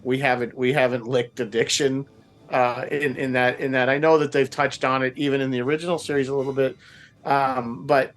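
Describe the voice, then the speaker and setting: Guy on a panel, casual, middle pitch, keeps restarting his phrases. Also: flat delivery, a little fast, soft background music. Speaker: roundtable panelist.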